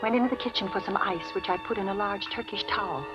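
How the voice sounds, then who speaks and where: An actress playing a genteel, coolly calculating widow who feigns grief, with poised, precise diction in an old radio drama. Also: female voice, medium pitch, fast, lightly acted. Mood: cool, composed. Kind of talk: 1940s-50s radio drama acting, first-person narration. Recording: dull vintage radio recording with music.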